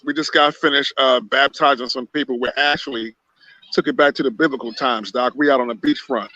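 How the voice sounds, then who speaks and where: Man, video call guest, upbeat and relaxed, dry close sound, muffled lo-fi audio. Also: medium pitch, brisk, no room sound. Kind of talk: casual chat on a video call.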